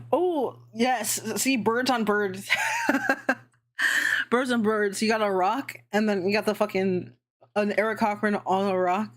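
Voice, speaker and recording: A woman's voice: mid-range voice, steady tone, slow. A young adult woman hosting a casual livestream, chatting in a rambling way, swearing freely and laughing often. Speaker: VTuber streamer hosting a worldbuilding session.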